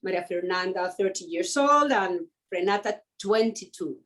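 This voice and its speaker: A woman, speaking English as an as an interview guest, often pausing and restarting to find her words. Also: fairly low pitch, lively intonation, a bit bright. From Mexico. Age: 50s. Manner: hesitant, searching for words with many restarts. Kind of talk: conversational interview.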